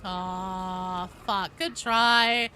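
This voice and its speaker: A woman's voice: mid-range voice, steady tone, very slow, noisy background. A woman doing casual live gaming commentary, informal and sweary, chatting with her viewers. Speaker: game streamer, host.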